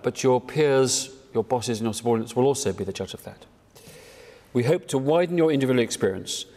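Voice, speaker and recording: An older man with a British accent gives a prepared address from a lectern in a measured, emphatic way, as the director of an organisation. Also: medium pitch, a little mellow. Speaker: charity director addressing seminar. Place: indoor hall, at a lectern. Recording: reverberant hall.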